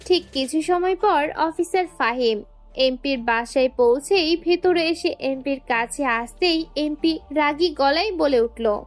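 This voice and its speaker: A woman's voice: sits high, measured pace, soft background music. A woman reading scripted story narration as the narrator of an audio drama.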